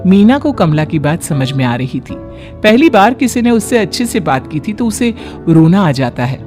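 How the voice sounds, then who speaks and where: A woman's voice: on the low side, big pitch swings, moderate pace, music in the background. A woman giving scripted storytelling narration for a Hindi family cartoon.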